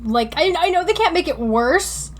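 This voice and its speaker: Very high-pitched, sarcastic young-sounding woman. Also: expressive, moderate pace. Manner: sarcastic, sweary, reactive. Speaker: podcast co-host. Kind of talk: casual comic chat.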